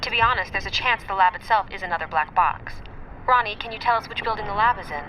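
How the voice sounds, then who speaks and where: An actress playing a composed artificial intelligence in a radio drama, speaking in a measured, formal way. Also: female voice, mid-range voice, bright, forceful tone, slight background noise, close to an everyday voice, low-quality recording. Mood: businesslike. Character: composed female artificial intelligence, earnest tactical leader. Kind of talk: naturalistic radio drama acting.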